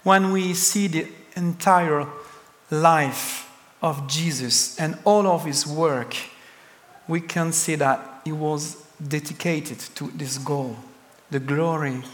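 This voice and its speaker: A man with a French accent preaching a sermon as a pastor, speaking slowly and deliberately with emphatic pauses. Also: fairly high pitch, fairly even intonation, long reverb tail, slight background noise.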